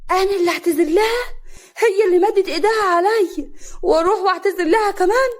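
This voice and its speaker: A young village wife from a family tale, acted by a woman, speaks in a high voice with an Upper Egyptian accent. She sounds hurt yet defiant, protesting with plaintive indignation. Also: a little fast, natural voice. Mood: indignant.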